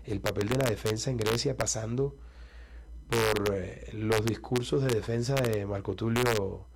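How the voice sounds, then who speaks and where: A man's voice: on the low side, a little slow, gravelly, background noise. A man with a Colombian Caribbean coast accent, a presidential candidate answering interview questions in a confident, combative and emphatic way.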